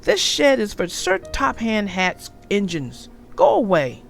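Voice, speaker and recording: A woman's voice: mid-range voice, huge pitch swings, slow, gravelly, faint music behind, exaggerated voice. A woman voicing a grumpy, haughty male engine who snaps and scorns in a storybook reading. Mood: cross. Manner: snappish, scornful.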